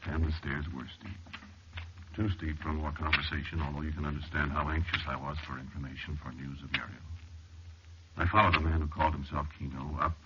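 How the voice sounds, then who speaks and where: Anxious, irritable explorer narrating old radio horror; very low voice, halting delivery, noisy muffled recording. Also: male voice, flat delivery. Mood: brooding narration. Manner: halting, deliberate storytelling with dramatic pauses. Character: anxious, irritable male explorer recounting an eerie ordeal. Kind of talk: first-person 1940s radio horror narration and acting.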